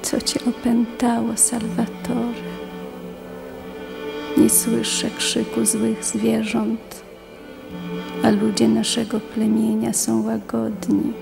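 An actress playing a watchful, soothing wife in a poetic radio play, measured and solemn. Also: female voice, a little high, monotone, vocal fry, exaggerated voice. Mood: uneasy, solemn. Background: music.

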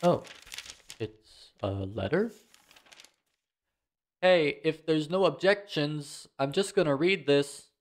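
A man's voice: fairly high pitch, lively intonation, slow pace, pauses often, slight background noise. A man playing a young traveler in a fantasy audio roleplay, muttering tentatively to himself. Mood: curious, hesitant. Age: young adult. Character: young male traveler exploring an abandoned tavern, unsure.

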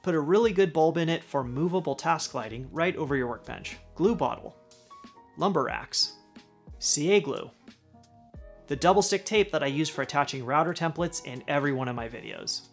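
A man's voice: a little high, expressive, moderate pace, faint music behind. Upbeat man running down a tool list. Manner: upbeat list-style delivery, dropping at the end of each item. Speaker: woodworking channel host. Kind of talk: scripted product rundown. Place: home garage workshop.

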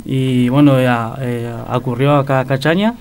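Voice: medium pitch, fairly even intonation, normal speed, a little mellow, clean tone. A car club member telling how the group started, speaking hesitantly with fillers in a radio interview.

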